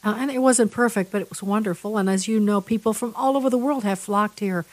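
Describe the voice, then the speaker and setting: A woman hosting an interview show, chatting with her guest in a halting way, full of restarts. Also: mid-range voice, darkish tone, no room sound, poor audio. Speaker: interview host.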